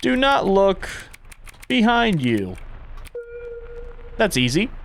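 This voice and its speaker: A man doing casual video game playthrough commentary, reading prompts aloud and reacting as he thinks out loud. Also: high voice, huge pitch swings, slow pace, slight background noise. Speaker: gaming content creator and streamer.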